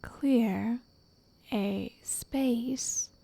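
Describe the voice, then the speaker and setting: A woman voicing an obsessive, lovestruck witch in an ASMR roleplay, soft and whispery, coaxing with possessive endearments. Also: a little high, very slow, vocal fry, slightly rough, heavily acted. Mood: soothing, commanding.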